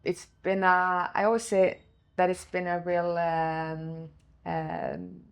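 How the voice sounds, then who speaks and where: A woman's voice: lowish voice, little pitch movement, slow, gravelly, smooth. A woman from Sweden, speaking English as a second language, telling her story casually in an interview with frequent fillers and restarts. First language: Swedish. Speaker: guest, former goalkeeper and goalkeeper coach.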